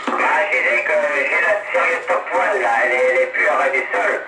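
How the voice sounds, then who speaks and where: A French man talks through a CB radio link with heavy noise and a roomy echo. He is a radio hobbyist, casual and matter-of-fact as he explains. His pitch is average. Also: brisk. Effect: heard through a CB radio. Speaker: amateur CB radio operator. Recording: very noisy radio link, distant, echoey. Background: loud radio noise.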